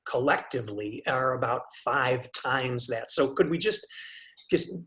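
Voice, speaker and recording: A man's voice: medium pitch, fairly bright tone, close to an everyday voice. Guy playing a self-important professor in a web comedy, theatrical, stammers a lot, touchy, mid voice. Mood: exasperated. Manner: halting, theatrical, stammering when challenged. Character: pompous, thin-skinned male professor and would-be playwright. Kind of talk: scripted comedy acting on a video call.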